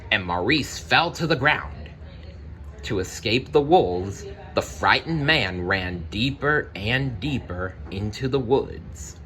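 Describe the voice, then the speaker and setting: Low-voiced, slow-paced man reading a storybook. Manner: deliberate, expressive narration with brief personal asides. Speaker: storybook reader. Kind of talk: children's read-aloud storytelling.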